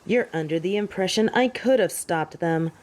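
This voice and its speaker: An actress playing a haughty, possessive fallen angel in an audio drama, with an imperious, cool and disdainful delivery. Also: female voice, fairly low pitch, moderate pace, darkish tone, natural voice. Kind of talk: dramatic audio roleplay acting.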